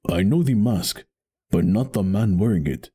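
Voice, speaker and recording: An actor playing a noble, solemn masked wrestling champion in an audio drama, speaking with formal, grandiose earnestness. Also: male voice, fairly low pitch, lively intonation, normal speed, dark tone, slightly rough. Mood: solemn, investigative.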